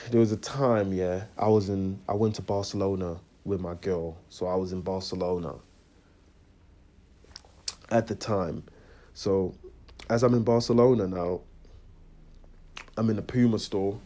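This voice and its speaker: Slangy young man, mellow voice. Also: mid-range voice. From Congo. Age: young adult. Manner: slangy, animated, repeats "you know what I'm trying to say". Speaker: vlogger. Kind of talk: casual storytelling rant.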